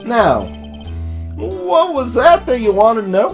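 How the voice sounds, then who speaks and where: A woman's voice: a little high, sing-song, slow pace, soft background music, exaggerated voice. A doddering old man played by a woman, eccentric and sentimental, in a video game dub. The delivery is folksy and drawn out, swooping widely as it rambles. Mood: sentimental, proud.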